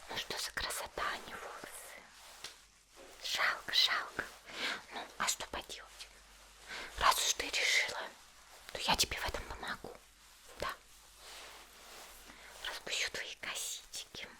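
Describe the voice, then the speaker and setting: A sweet, chatty young anime woman voiced by a woman speaks in a soft, breathy whisper. She is gentle and cooing, taking her time in an intimate ASMR hair-salon roleplay. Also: unhurried, pauses often. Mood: tender, doting.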